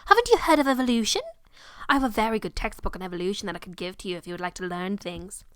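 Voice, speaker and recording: A woman's voice: mid-range voice, expressive, quick pace, clear, resonant tone, no room sound, natural voice. A woman voicing a haughty, suspicious aunt in a story, snooty and mocking. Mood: sickly sweet. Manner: snooty, mocking, sickly sweet at times.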